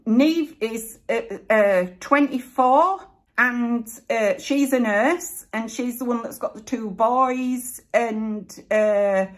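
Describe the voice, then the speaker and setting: A woman's voice: medium pitch, a bit bright. A middle-aged woman with a broad Yorkshire accent, chatting through viewer questions in a casual vlog, with lots of fillers and frequent laughter. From North East England, raised in Yorkshire. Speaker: vlogger answering viewer questions.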